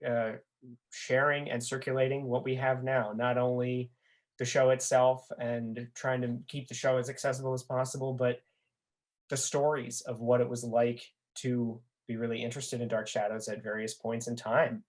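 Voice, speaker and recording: A man's voice: mid-range voice, level tone, normal speed. A man talking as a guest in a casual discussion, hesitantly working through his thoughts as he goes. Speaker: podcast guest, fan community member.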